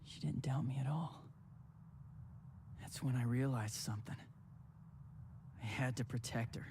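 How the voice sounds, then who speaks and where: A man's voice: mid-range voice, a little slow, warm tone, slight background noise, natural voice. A man voicing a blunt, hot-headed young man who protects his younger sister. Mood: remorseful. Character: brash protective young man, older brother. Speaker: young hero of the story.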